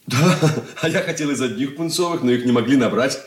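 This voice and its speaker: An actor playing an eager young bridegroom in a radio drama, speaking in an ingratiating, tender, stage-trained manner. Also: male voice, mid-range voice, brisk, close to an everyday voice. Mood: playful, courting. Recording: roomy echo, faint background noise.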